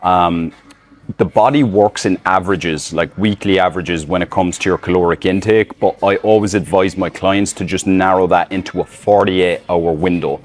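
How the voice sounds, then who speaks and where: Deep-voiced Irish man, rambling fitness chat. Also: fairly even intonation, moderate pace. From Ireland. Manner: loose, rambling, many fillers and restarts. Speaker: fitness coach. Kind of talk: casual talking-to-camera advice. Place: outdoors in public, filming selfie-style. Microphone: phone camera in selfie mode.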